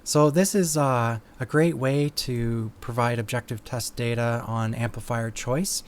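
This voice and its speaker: A man with a mid-pitched voice talks through technical measurements in a measured, halting way. He sounds like an engineer explaining results step by step. There is some faint noise behind him on the recording. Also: fairly even intonation. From Canada. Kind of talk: technical explanatory talk over test results.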